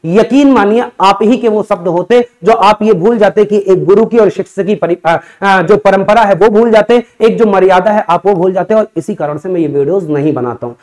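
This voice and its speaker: A man's voice: high voice, moderate pace, hardly any pauses, a little mellow. A young man teaching students in an emphatic, conversational way, often asking and answering his own questions. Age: young adult. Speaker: exam coaching teacher. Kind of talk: explanatory lecture to students. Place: indoor teaching studio in front of a digital board.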